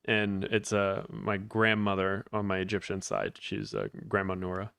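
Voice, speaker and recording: A man's voice: low voice, normal speed, creaky, fairly bright tone, clean tone, no echo. A man chatting casually as a podcast guest, informal and somewhat hesitant in his phrasing. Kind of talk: casual conversation.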